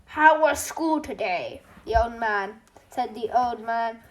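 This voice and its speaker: A storytime reader narrating a children's book aloud, haltingly, with stumbles and self-corrections. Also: mid-range voice, expressive, rough recording. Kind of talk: children's audiobook reading, scripted narration.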